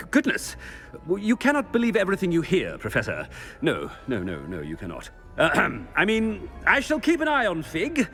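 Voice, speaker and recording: An actor playing a pompous, irritable British headmaster in a video game, blustering and haughty. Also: male voice, on the high side, wildly swooping pitch, a little slow, a bit bright, soft background music, exaggerated voice. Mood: flustered, evasive. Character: pompous, irritable male headmaster, flustered and imperious. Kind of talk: video game character acting.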